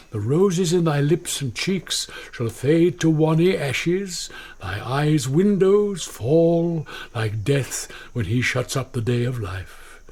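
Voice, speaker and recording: A husky, slow-spoken elderly British friar. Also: male voice, on the high side, a little mellow, heavily acted. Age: older. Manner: measured, declamatory. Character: grave elderly friar, fatherly and urgent. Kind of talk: classical Shakespearean radio acting.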